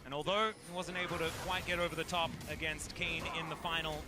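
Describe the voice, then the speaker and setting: High-pitched male esports commentator over loud music. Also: level tone, brisk. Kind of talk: live game commentary.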